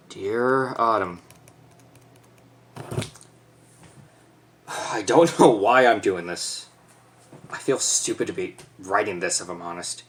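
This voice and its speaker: A young man acting an awkward, self-conscious teenage boy, halting and correcting himself in a stage monologue. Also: lowish voice, slight background noise. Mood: awkward, regretful. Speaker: stage actor. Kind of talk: theatrical letter monologue.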